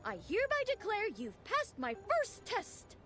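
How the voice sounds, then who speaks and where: A woman's voice: very high-pitched, huge pitch swings, a little slow, fairly bright tone, faint music behind, full character voice. A woman voicing a grandiose, theatrical examiner of a knightly order in a video game, pompously proclaiming with solemn eagerness. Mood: grand, commanding.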